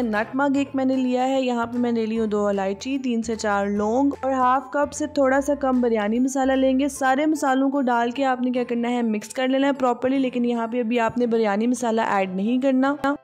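A young woman walks through a recipe in an unhurried voice-over. She explains each step patiently, with small fillers, while soft music plays quietly underneath. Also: sits high, fairly even intonation. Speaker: cooking channel host. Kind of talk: voice-over cooking instructions. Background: soft background music.

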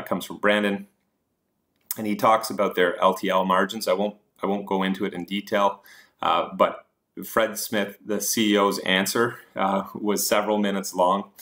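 A man's voice: sits low, a bit bright. A man presenting investing slides as a host, explaining points informally with hesitant asides.

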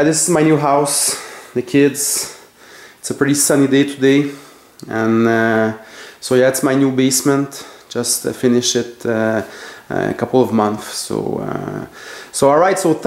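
French canadian guy filming his shelves on a phone, lots of uh and um, restarts a lot, medium pitch. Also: gravelly, darkish tone. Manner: halting, many fillers and self-corrections. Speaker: collector vlogger. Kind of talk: casual collection tour. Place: home basement full of shelves.

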